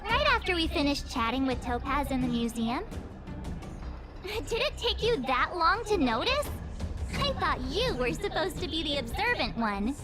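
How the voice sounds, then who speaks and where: A woman voicing a spirited young girl in a video game, brisk and lightly teasing. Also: sits high, very animated. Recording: game music behind.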